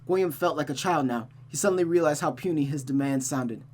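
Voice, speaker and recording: A woman's voice: sits low, brisk, a little mellow. A woman reading a visual novel aloud as host, in a dramatic storytelling style. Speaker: storytime host, game reader. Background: music.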